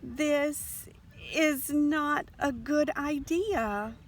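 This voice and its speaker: A woman's voice: sits high, very slow, voice pushed up, exaggerated voice. A woman voicing a worried, sensible young girl chicken in a storybook, in a small, hesitant, shaky voice. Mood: nervous. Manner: hesitant, mumbling. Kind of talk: children's storybook character voice.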